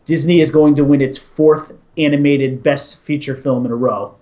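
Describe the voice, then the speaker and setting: A man's voice: on the high side, fairly even intonation, normal speed, dark tone, reverberant. A man co-hosting a casual movie talk show, giving his ratings in an enthusiastic, storytelling way. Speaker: podcast co-host, movie reviewer. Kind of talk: casual movie review chat.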